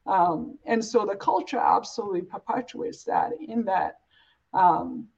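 A woman's voice: mid-range voice, animated, unhurried, a little mellow, slight background noise. A woman from Africa, speaking earnestly and emphatically as an interview guest, building points in repeated phrases. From East Africa, born and raised in Uganda. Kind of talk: reflective, earnest interview talk. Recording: dull, lo-fi audio.

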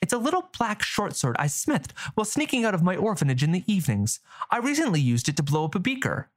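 A cheeky, fearless orphan girl, as a man puts her on in a storybook reading. her voice is lifted well above his own and runs quick and careless. she sounds breezy and unbothered. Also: sits high, very animated, pitched above the performer's own voice. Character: bold mischievous orphan girl.

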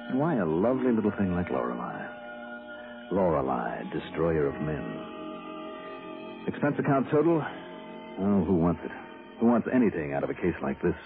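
A muffled old radio broadcast with faint music carries a hard-boiled, world-weary male investigator. His low voice is clipped and dramatic. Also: heavily acted. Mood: weary, bitter. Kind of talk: 1960s radio drama acting.

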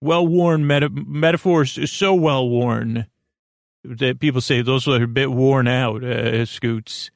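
Guy doing a rambly sleep podcast intro, really creaky voice, dry close sound, keeps tripping back over his own sentences. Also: mid-range voice, steady tone, dry recording. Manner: meandering, halting, repeats and interrupts himself. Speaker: podcast host.

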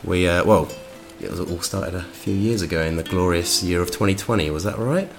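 A man's voice: low voice, brisk, soft background music. A man hosting a podcast interview, chatty and enthusiastic, with lots of fillers and restarts. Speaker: podcast host. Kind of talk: casual interview chat.

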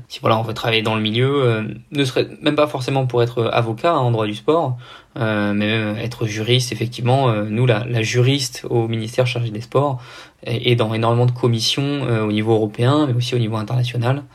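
A young man from the south of France with a neutral French accent, talking casually and explaining things in a hesitant way as a podcast guest. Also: medium pitch. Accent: neutral French, no regional accent. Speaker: podcast guest, amateur triathlete. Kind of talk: casual interview conversation.

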